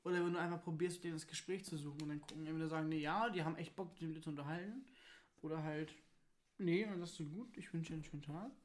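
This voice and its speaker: A woman's voice: low-pitched, racing, reverberant. A woman co-hosting a podcast, chatting casually in a blunt, opinionated and emphatic way. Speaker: podcast co-host. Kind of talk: casual conversational podcast chat.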